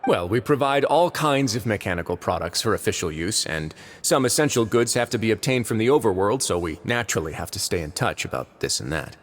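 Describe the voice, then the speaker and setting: An actor playing a composed, imposing duke who runs a prison in a video game, measured, self-assured and dryly polite. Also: male voice, lowish voice, lively intonation. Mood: calm, courteous. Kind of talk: English video game voice acting.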